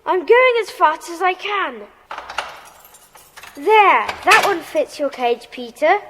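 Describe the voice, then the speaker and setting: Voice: very high voice, animated, slow, background noise, heavily acted. An actor playing an eager, helpful schoolboy in a children's audio drama. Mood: hurried, helpful. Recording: echoey hall reverb.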